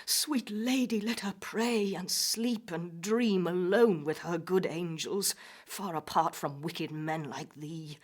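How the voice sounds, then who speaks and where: Frail, breathy old crone. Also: male voice, high voice, takes it slowly, voice pushed up. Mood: indignant. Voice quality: breathy, whispery, thin aged voice. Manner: quavering, urgent, archaic speech. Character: frail, fearful, scolding old nursemaid crone. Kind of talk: dramatic poetry recital.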